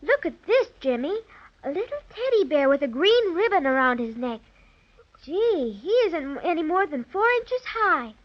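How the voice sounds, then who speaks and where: A woman's voice: high voice, big pitch swings, moderate pace. A woman playing a curious, slightly cautious little girl with gushing, childlike phrasing in a 1930s children's radio serial. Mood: curious, delighted. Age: child character. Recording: muffled 1930s radio transcription.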